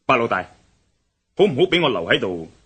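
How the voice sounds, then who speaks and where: An actor playing an earnest, determined adventurer in a radio drama, speaking with a Hong Kong Cantonese accent in a persuasive manner. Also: male voice, mid-range voice, very animated, brisk, a bit bright, breathy edge, exaggerated voice.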